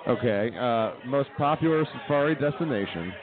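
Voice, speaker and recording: A man's voice: medium pitch, lively intonation, moderate pace. A man hosting a casual live comedy radio show. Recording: tinny stream, bar crowd noise. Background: crowd.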